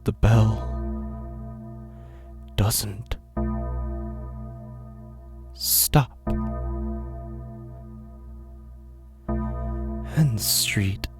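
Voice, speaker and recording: Over background music comes a very deep, slow male voice with a gravelly creak. He is an anxious, cynical loner narrating a horror audio drama in the first person. His delivery broods and halts, circling through questions. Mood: hushed dread. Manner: brooding, halting, questioning delivery with sighs and pauses. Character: anxious, cynical, philosophizing man alone in a pub.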